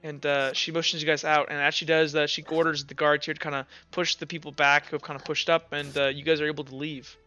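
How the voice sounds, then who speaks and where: Bright-voiced man narrating a tabletop game. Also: fairly high pitch, level tone, lo-fi sound. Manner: casual, halting, self-correcting. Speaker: game master.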